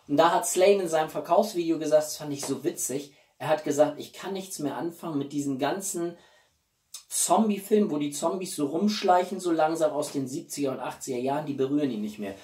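A man's voice: a little high, a little mellow. Guy in his 40s doing a casual vlog, opinionated and emphatic, echoey room, mic a bit far off. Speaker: YouTube film reviewer and channel host. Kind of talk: casual chat to camera about a film collection. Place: small room at home, indoors. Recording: roomy, distant microphone.

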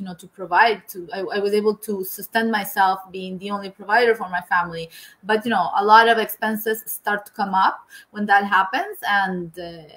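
Halting woman. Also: mid-range voice, fairly bright tone, low-quality recording. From Argentina. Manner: hesitant, many repeated words and "you know". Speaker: guest, engineer. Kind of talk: video-call interview. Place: home room indoors. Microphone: video call.

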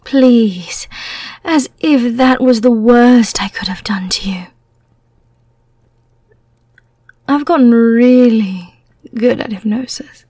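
A breathy, seductive female vampire, acted by a woman for an ASMR roleplay. She speaks slowly and softly, in a dark, whispery tone. She is teasing and menacing, with a merciful streak, and sounds very close and dry. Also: a little high, dry recording, voice pushed down. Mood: taunting, menacing. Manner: slow, teasing, deliberate.

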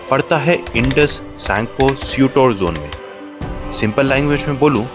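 A man with an Indian accent narrating a road-trip travel video in scripted voice-over, moving between Hindi and English. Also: medium pitch. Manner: mixes Hindi and English, steady storytelling. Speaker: travel vlogger.

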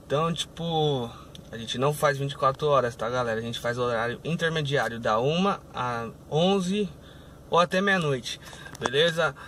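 A man's voice: medium pitch, normal speed, fairly bright tone. A young man, vlogging from his car as a rideshare driver and checking in with his viewers in an upbeat way. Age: young adult. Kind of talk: casual vlog to camera. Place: inside a car, day and night. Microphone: phone camera on dashboard. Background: faint car and road noise.

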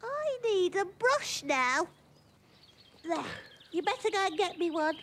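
A woman's voice: extremely high pitch, big pitch swings, slow, a bit bright, a little hiss or hum, heavily acted. A woman playing a playful little girl rag doll in a children's puppet show, with a childlike, exclaiming delivery. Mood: eager, bossy.

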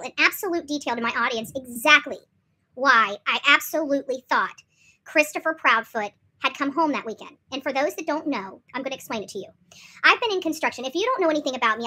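A woman's voice: sits high, sharp tone. A woman in her forties telling stories casually to camera, emphatic and repetitive, often tagging sentences with little check-in questions. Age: 40s. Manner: emphatic, repeats herself, often checks with "okay?" and "right?". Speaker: vlogger. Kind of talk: animated casual storytelling to camera. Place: small indoor room.

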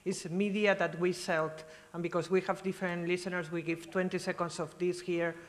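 A woman's voice: lowish voice, little pitch movement, a little fast. A woman speaking on a conference panel in a function room, direct and brief. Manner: direct, brief, non-native phrasing. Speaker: panel member for radio broadcaster. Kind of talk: panel discussion answer.

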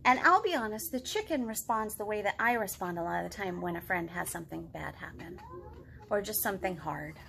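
A woman's voice: medium pitch, animated, brisk, vocal fry, fairly bright tone, a little hiss or hum. A woman in her thirties hosting a read-aloud storytime, talking expressively and directly to young children. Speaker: children's librarian, storytime host. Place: living room at home.

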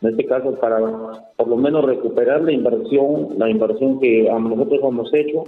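A Peruvian rice farmer speaking in a phone interview, hesitant, with many fillers and restarts. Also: male voice, mid-range voice, expressive, brisk, gravelly. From Peru. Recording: echoey tinny phone line.

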